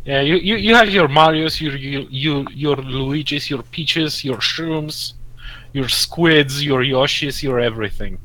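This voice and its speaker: A man with a Russian accent chatting casually as a gaming podcast co-host, halting and repeating words. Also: fairly high pitch, bright, forceful tone, poor audio.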